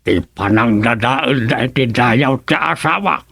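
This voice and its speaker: A man with an Ilocano Filipino accent playing an aggrieved, gravelly villager in a radio comedy drama. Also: mid-range voice, a little slow, a bit bright, no echo, exaggerated voice.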